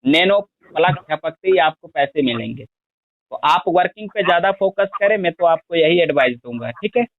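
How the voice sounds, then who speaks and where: Guy pushing a money scheme on the phone, highish voice, sounds like a phone line. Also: expressive, normal speed, no echo. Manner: persistent, explains at length with long lists of figures. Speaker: scheme promoter on a phone call. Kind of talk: sales pitch over the phone. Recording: tinny phone line, dry.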